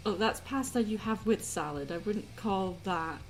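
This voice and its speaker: A woman's voice: medium pitch, expressive, normal speed. Female livestream host, casual and playfully argumentative, mid-range voice with music behind. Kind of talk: casual chat, answering silly questions.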